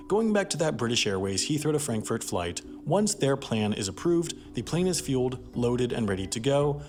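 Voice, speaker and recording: A man delivers scripted documentary narration with a highly animated pitch that rises and falls through each sentence. His explanatory phrasing is emphatic, and music plays softly behind him. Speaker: narrator and host. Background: background music.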